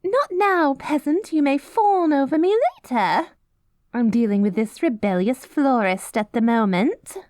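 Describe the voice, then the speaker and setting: High, pompous, vain queen. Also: expressive, measured pace, a little mellow, dry recording, clean studio sound. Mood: dismissive. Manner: pompous, theatrical, mock-archaic diction. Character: haughty, vain, self-righteous good queen, secretly cruel. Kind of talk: scripted fantasy audio roleplay.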